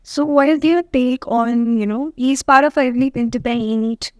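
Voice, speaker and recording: A woman hosting an interview, asking her questions in a halting way with restarts. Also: high voice, a little mellow, no echo. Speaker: host.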